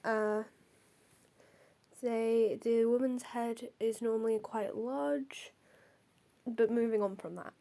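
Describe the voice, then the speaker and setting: A woman's voice: on the high side, fairly even intonation, takes it slowly, creaky, pauses often, mellow. A young woman with a cold and a stuffy nose, hosting and reading out folklore notes, hesitant with many ums and apologies. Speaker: host.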